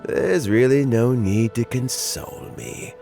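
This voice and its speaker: An actor playing a gentle, chuckling old man near retirement who loves fishing, in a game dub. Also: mid-range voice, measured pace, creaky, faint music behind. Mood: wistful. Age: older. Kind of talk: video game dub acting.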